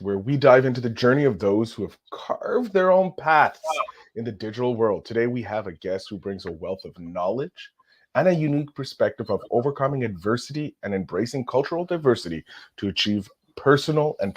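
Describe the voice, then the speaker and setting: A man's voice: mid-range voice, animated, brisk, slightly rough. A man from Canada hosting an interview podcast, moving between scripted introductions and questions, sometimes stumbling and repeating words. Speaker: podcast host.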